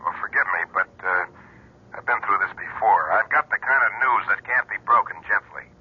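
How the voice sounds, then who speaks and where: Blunt police inspector, low pitch, raspy, grave, old radio drama, dull recording. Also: male voice, a little fast.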